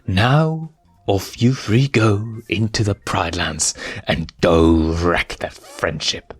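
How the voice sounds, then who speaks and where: Guy doing a cruel lion bad guy, low voice, drags his words out menacingly, music behind. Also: breathy edge. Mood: cold, threatening. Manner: slow, drawn-out, threatening. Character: cruel, menacing adult male lion villain.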